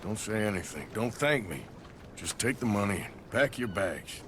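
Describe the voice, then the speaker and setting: An actor playing a weary, remorseful outlaw in a video game, with a rough American drawl and blunt, earnest delivery. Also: male voice, fairly low pitch, lively intonation, moderate pace, noisy background, lightly acted. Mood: remorseful, pleading. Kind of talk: video game cinematic acting.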